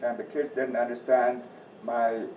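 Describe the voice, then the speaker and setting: A man's voice: mid-range voice, level tone, measured pace, a little hiss or hum. Folksy man in his 60s, echoey room. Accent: softened Midwestern American. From Northeast Iowa, USA. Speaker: agriculture teacher and farm advisor. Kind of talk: presentation to a church audience. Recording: distant, echoey, muffled room recording.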